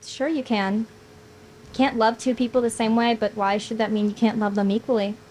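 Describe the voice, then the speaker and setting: A woman's voice: fairly high pitch, little pitch movement, brisk, a little mellow, natural voice. A woman acting a playful, tender young woman in a live screenplay table read, with some noise on the recording. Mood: gently consoling.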